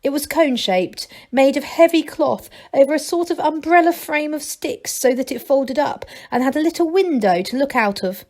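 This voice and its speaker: A woman reading a children's story aloud as a teacher would, in expressive narration. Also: high voice, moderate pace, few pauses.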